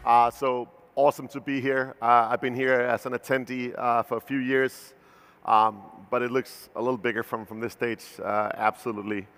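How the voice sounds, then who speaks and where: Founder keynote: man in his thirties, Danish accent, mid-pitched and casual, with long hall reverb from the venue. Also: flat delivery, moderate pace, a bit bright. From Denmark. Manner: loose and conversational, many filler "uh"s and restarts. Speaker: company founder giving a keynote. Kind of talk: conference keynote talk. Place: large conference stage.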